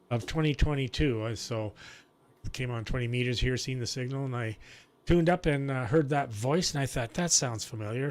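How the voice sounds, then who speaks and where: A man chatting casually as an amateur radio operator, talking in a halting, chatty way with throat clearing. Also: medium pitch. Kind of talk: casual ham radio chat.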